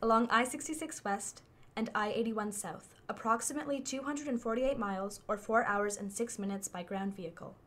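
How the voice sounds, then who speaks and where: Actress as female artificial intelligence, higher-pitched, formal, precise, impersonal, radio play briefing. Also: a little fast, few pauses, a bit bright. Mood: clinical, matter-of-fact. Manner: formal, precise, matter-of-fact delivery. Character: analytical machine intelligence reporting findings, female, precise, impersonal.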